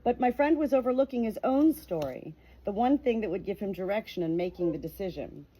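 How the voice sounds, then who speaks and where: A woman's voice: mid-range voice, expressive, a little fast, warm tone, low-quality recording. Earnest woman reading a faith book aloud. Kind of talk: reading a nonfiction book aloud with personal reflection.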